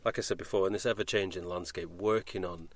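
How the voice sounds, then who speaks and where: A man's voice: low voice, steady tone, quick pace. A British man hosting a podcast, chatting casually in an earnest, enthusiastic way. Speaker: podcast host. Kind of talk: casual interview chat.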